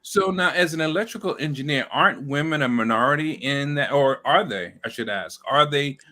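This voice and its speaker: A man's voice: on the high side, expressive, brisk, pushed and bright. A man hosting a casual interview, chatty and affirming, often stumbling through restarts and fillers as he asks questions. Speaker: host.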